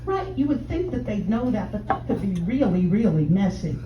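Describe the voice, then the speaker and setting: A woman's voice: very animated, moderate pace, a little hiss or hum. Brash older Texan woman on stage. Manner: brash, bawdy, chatty sales pitch. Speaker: stand-up comedian in a sex-doctor persona. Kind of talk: stand-up routine, addressing audience members. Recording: roomy, distant, dull live room sound.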